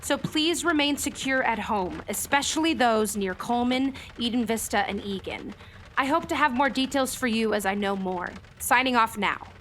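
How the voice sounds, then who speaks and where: A woman's voice: on the high side, normal speed, natural voice. A woman acting as an earnest, kindly television meteorologist in a naturalistic radio play, speaking articulately. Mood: official, measured. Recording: faint background noise.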